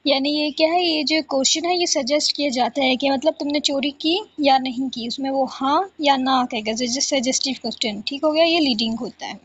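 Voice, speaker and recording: High-pitched Pakistani woman giving a lecture. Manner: repetitive, asks and answers own questions; switches between Urdu explanation and slowly read English. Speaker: law lecturer. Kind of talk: exam-prep lecture, reading out and explaining notes. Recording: dull, lo-fi close recording.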